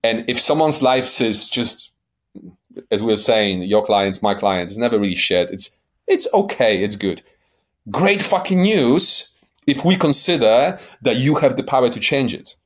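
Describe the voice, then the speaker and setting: A man's voice: mid-range voice, expressive, measured pace. Blunt Polish-accented man coaching emphatically. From Poland. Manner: keeps checking in with "right?". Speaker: guest life coach. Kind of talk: podcast interview.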